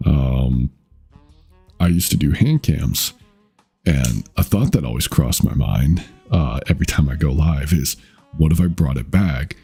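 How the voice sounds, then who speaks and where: A young man with an extremely low, deep voice that sounds dark and mellow, with a husky edge. He chats casually with his viewers, rambling and restarting his sentences, dropping fillers and swear words. Faint music plays softly behind him. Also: lively intonation. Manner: rambling, halting, with many fillers and self-corrections; swears casually and laughs often. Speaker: VTuber streamer. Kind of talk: casual chat with stream viewers.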